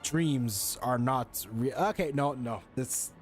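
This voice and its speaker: A man's voice: medium pitch, takes it slowly. A man giving casual, slangy, joking gaming commentary as he streams a story quest. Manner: slangy, joking, reads on-screen text aloud. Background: game music.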